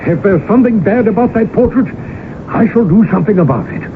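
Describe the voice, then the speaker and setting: Pompous, high-pitched colonel on old radio. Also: male voice, fast talker. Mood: blustering. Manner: pompous, excitable, hearty. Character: boastful, blustering husband, a pompous colonel. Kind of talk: old-time radio drama acting. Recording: noisy, narrow-band old radio broadcast recording.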